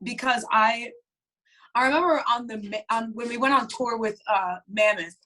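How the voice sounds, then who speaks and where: A woman's voice: a little high, normal speed, sharp tone, low-quality recording. A young woman, a band's lead singer, chatting casually in an interview with lots of fillers. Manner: chatty, many "like" fillers, laughs easily.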